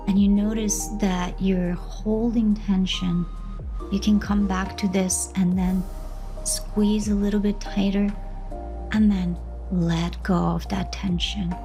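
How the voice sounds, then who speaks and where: A woman leading a guided meditation in a gentle, unhurried manner, pausing between short soothing phrases of instruction. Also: mid-range voice, fairly even intonation. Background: background music.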